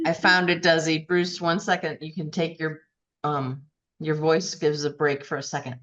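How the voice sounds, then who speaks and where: A woman's voice: deep voice, flat delivery, normal speed, a bit bright. A woman moderating an online session, casually relaying audience questions over a call. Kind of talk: casual reading out of chat questions. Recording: dull online call audio.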